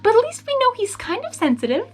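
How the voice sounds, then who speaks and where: A woman reading a story aloud in an expressive manner, breaking into casual, exasperated reactions to the characters. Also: extremely high pitch, quick pace, faint music behind. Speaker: fan fiction reader.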